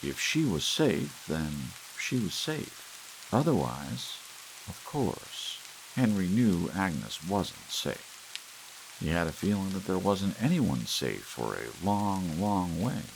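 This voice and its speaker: A man reading a short story aloud in an ASMR audiobook style. He tells it expressively, rising for tense moments and sinking low and soft for quiet ones. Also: sing-song, slow, gravelly, slight background noise, rough recording. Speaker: audiobook narrator. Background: soft rain sounds.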